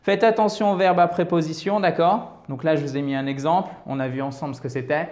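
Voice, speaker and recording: A man from France giving an online English lesson, explaining step by step with examples and checking in often with quick "ok?" and "d'accord?" asides. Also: on the high side, steady tone, a little mellow, roomy sound. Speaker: English teacher.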